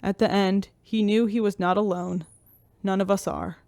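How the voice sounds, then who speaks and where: A woman's voice: medium pitch, steady tone, moderate pace, creaky, a little mellow, dry recording, clean studio sound, close to an everyday voice. A woman playing a tender, grieving exozoologist, speaking earnestly in a sci-fi audio drama.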